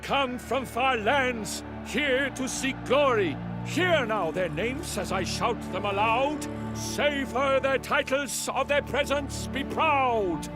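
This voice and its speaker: Fantasy game herald: very high pitch, slow grand proclamations, pompous and theatrical, music behind. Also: male voice. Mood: grand, proclaiming. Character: florid, pompous male herald bard proclaiming in rhyme. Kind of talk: theatrical video game voice acting.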